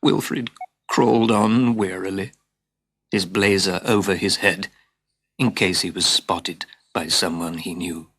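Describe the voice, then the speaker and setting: A man narrating a scripted children's story as a dramatic, expressive storyteller who drops into hushed asides. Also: on the low side. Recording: hissy old cassette tape.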